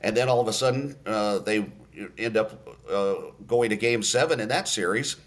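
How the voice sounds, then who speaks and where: An older man, a radio play-by-play announcer, telling stories in a relaxed interview with many fillers and restarts. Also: fairly low pitch, normal speed.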